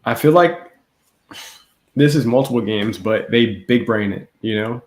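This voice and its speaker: A man's voice: medium pitch, unhurried. A man co-hosting a podcast, giving casual, opinionated film talk with incredulous rhetorical questions. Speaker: podcast co-host. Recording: echoey room.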